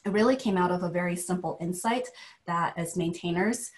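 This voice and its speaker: Relaxed low-voiced woman panelist. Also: a little fast. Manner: relaxed, many fillers, storytelling. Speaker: panelist, engineering leader. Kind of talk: video call panel discussion. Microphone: video call.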